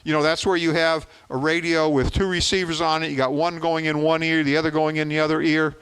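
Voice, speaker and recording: A man in his seventies presents to a club in an informal, explanatory way. His voice is smooth and clear, pitched a little high for a man. He is folksy and plain-spoken, leaning on repeated words for emphasis. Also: little pitch movement, a little fast, fairly bright tone, rough recording. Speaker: contest organizer giving a presentation.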